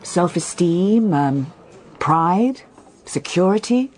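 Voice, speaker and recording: A woman's voice: fairly low pitch, expressive, slow pace, darkish tone. A woman acting in a radio drama as an earnest, restless reporter, articulate but hesitant and questioning. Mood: curious.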